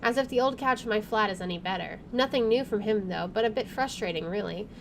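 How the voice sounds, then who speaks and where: A woman hosting a gaming video, reading visual novel narration aloud in an expressive way. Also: medium pitch, fast, gravelly, background noise. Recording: faint background game ambience.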